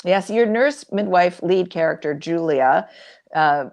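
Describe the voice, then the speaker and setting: Female interviewer, lower register with marked vocal fry, thoughtful delivery. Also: lively intonation, measured pace, a little mellow. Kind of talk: literary interview questions.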